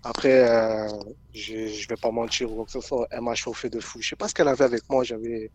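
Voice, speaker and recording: A man with a Southern French accent tells a story to his friends in a relaxed chat. He talks quickly and circles back over his own words, repeating phrases as he goes. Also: medium pitch, breathy edge, dry recording. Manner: rambling, repeats himself. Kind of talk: casual podcast chat.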